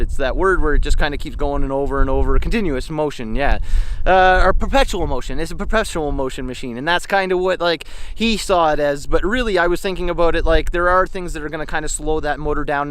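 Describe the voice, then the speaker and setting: Over clear outdoor background noise, a man speaks quickly in an enthusiastic ramble. He explains things like a vlogger out on a walk, drifting from point to point in a slightly high voice. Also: poor audio. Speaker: show host and master electrician. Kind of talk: rambling outdoor vlog monologue. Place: outdoors while walking on high ground.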